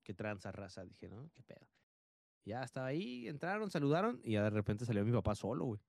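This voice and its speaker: A man's voice: medium pitch, sing-song, brisk, creaky, a little mellow, dry recording, clean studio sound. A man in his thirties with a Mexican accent, chatting casually as a podcast co-host, swearing and laughing as he tells stories. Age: 30s. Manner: slangy, swears a lot, laughs often. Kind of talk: casual chat, storytelling.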